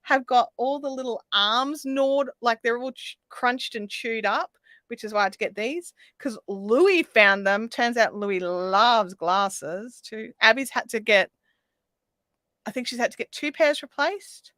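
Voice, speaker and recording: A woman hosting a craft livestream, chatting casually and reading out viewer comments while she crochets, her voice slightly raspy. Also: a little high, unhurried, fairly bright tone, no room sound. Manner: chatty, reads viewer comments aloud, counts stitches under her breath. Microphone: desk microphone.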